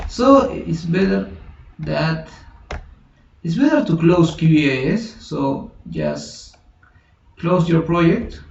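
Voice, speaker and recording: A man whose first language is Spanish, teaching a software tutorial in a halting way, thinking aloud with many restarts and self-corrections. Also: on the high side, vocal fry. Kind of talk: screen-recorded software tutorial. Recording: roomy, distant, dull lo-fi home recording.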